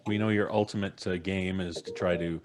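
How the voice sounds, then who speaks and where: A man's voice: low-pitched, steady tone, fairly quick. A middle-aged man hosting a live stream casually, with jokey, theatrical introductions, on a studio mic. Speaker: podcast host. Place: small indoor home studio, on a video call. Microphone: studio mic on a boom arm.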